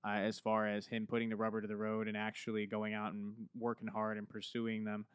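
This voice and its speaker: A man's voice: lowish voice, monotone, a little fast, smooth, no echo. A man hosting a podcast, upbeat and joking, who introduces guests fluently with a few fillers, recorded in a university podcast studio. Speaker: podcast host. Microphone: podcast mic.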